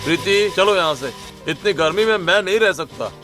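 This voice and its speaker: Casting: actor as pampered, greedy fat husband, higher male pitch, haughty grumbling, faint music behind. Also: lively intonation, normal speed, bright, forceful tone. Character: fat gluttonous rich son-in-law, fussy and entitled. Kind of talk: Hindi cartoon story dialogue.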